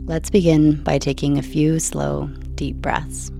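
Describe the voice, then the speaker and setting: A woman narrates quietly and slowly, as if easing listeners to sleep. Her voice is low with a gravelly fry, and her intonation stays flat and steady. She sounds measured and soothing throughout. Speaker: host and narrator. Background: background music.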